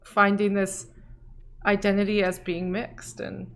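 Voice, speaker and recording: A woman's voice: mid-range voice, flat delivery, unhurried, creaky, fairly bright tone. Encouraging young woman podcast host. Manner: encouraging, frequent "yeah" and "wow" back-channels. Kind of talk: casual conversational interview.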